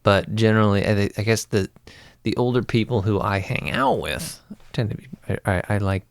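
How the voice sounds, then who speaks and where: A man's voice: fairly low pitch, expressive, moderate pace, gravelly, no echo, studio quality. A man around forty chatting casually as a guest, reflective and playful in a rambling way. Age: 40s. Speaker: podcast guest. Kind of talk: casual chat.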